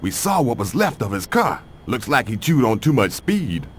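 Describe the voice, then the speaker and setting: An actor playing a brash gangster sidekick in a video game, with slangy, tough talk. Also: male voice, mid-range voice, very animated, normal speed, a little hiss or hum, lightly acted. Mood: cocky.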